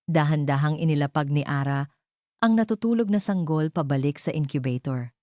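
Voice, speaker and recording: A low-pitched woman storyteller with a Filipino accent reads a scripted drama. Her tone is soft and mellow, and the sound is dry and close, with no room around it. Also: animated, normal speed, dry recording.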